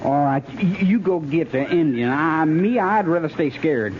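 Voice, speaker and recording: Higher-voiced actor as plain frontier trooper. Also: male voice, animated, moderate pace, a crowd in the background, natural voice. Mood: wary. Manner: folksy, ungrammatical frontier talk. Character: plain-spoken, needling cavalry trooper, content to obey. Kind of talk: 1950s radio western acting. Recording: muffled, noisy 1950s radio recording.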